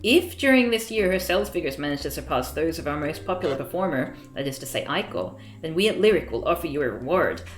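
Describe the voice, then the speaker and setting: A woman reading the lines of a calculating male company president in a visual novel, measured and formal. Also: low-pitched, animated, roomy sound. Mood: cool, formal.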